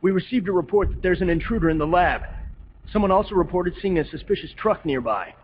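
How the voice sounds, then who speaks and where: An actor playing a brisk, officious soldier leading a search in a video game, clipped and official. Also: male voice, high-pitched, expressive, slight background noise. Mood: urgent, commanding. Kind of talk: scripted video game voice acting. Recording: muffled lo-fi game audio with music.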